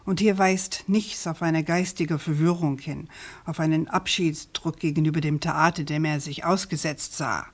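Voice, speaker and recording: A persistent woman journalist in a radio crime drama. Her voice sits slightly low, and she talks with deliberate emphasis, sceptical and probing. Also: steady tone. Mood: measured, firm. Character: persistent, sceptical female journalist questioning a prosecutor.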